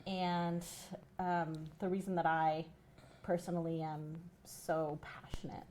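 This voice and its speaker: A woman activist, a guest on a talk show, speaking in an animated way with frequent "you know". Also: fairly low pitch, takes it slowly, slight background noise. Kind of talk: casual chat.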